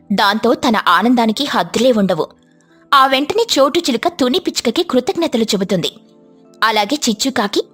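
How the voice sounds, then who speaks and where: A woman's voice: fairly high pitch, fairly bright tone, husky. A woman storyteller reading scripted narration for a children's tale.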